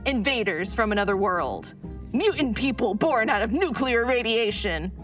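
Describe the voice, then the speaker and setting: A woman's voice: fairly high pitch, animated, moderate pace, fairly bright tone, faint music behind. A woman playing a geeky, chatty modern character in a live audio drama, explaining things in a slangy, casual way. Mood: keen, explanatory.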